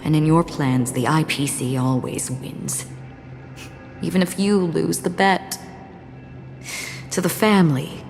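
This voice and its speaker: An actress playing a stoic, philosophical swordswoman in a video game cutscene, speaking in a grave, measured, formal way. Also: low-pitched, fairly even intonation, creaky, a little mellow, heavily acted. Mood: calm, analytical. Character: solemn, stoic female swordswoman, cryptic and philosophical. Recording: long reverb, music behind the voice.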